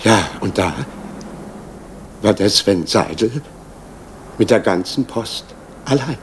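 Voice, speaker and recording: A man's voice: lowish voice, expressive, takes it slowly, slight background noise. An actor playing a nervous, apologetic male postal clerk giving a statement in a radio crime drama. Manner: hesitant, apologetic.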